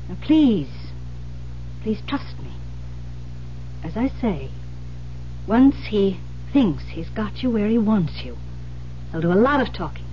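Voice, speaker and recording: A woman playing a composed, determined confidante in an old-time radio thriller, earnest, deliberate and reassuring. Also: medium pitch, sing-song. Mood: earnest, insistent. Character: Iris, composed, determined woman coaching a frightened friend. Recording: muffled old radio recording with noise, hum.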